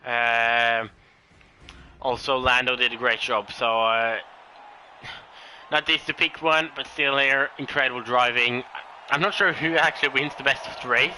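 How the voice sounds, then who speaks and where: Bright-voiced Norwegian man commentating a race. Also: mid-range voice, fairly even intonation, moderate pace, slight background noise, rough recording. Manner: hesitant, many fillers and self-corrections. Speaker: sim racing commentator. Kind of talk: solo live race commentary.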